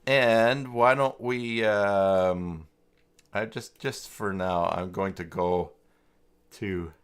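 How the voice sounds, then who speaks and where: A man hosting a casual, unscripted livestream tutorial, talking haltingly as he thinks aloud through each step. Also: lowish voice, clear, resonant tone. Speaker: livestream host and web tutorial presenter.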